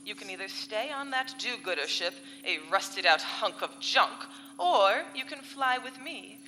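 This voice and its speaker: Woman acting a scheming space villainess, biting, very bright voice, pulp radio comedy, reverberant hall. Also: mid-range voice, expressive, normal speed. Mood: grandiose, urgent. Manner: theatrical, grandiose, biting. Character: scheming female space villainess, haughty, exasperated arch-nemesis. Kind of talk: live comedic sci-fi radio play in 1940s serial style. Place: convention hall stage before live audience. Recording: reverberant live hall, faint music.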